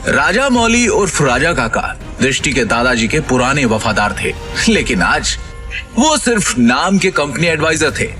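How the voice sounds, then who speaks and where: A man's voice: fairly high pitch, sing-song, measured pace, bright, forceful tone, faint music behind. A man narrating a scripted audio drama as a dramatic storyteller.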